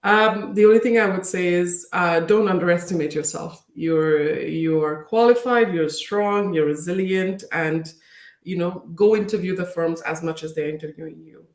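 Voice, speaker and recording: A woman's voice: fairly low pitch, normal speed. A woman speaking as an interview guest, chatting casually and encouragingly. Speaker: guest speaker, senior manager. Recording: roomy, echoey sound.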